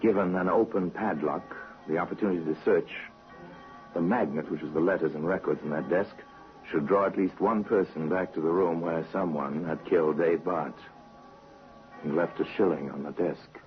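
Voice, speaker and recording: A man narrating a crime story in a grave, deliberate, suspenseful manner, as host of a vintage radio show. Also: low voice, soft background music. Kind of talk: scripted dramatic narration. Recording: hissy muffled vintage radio recording.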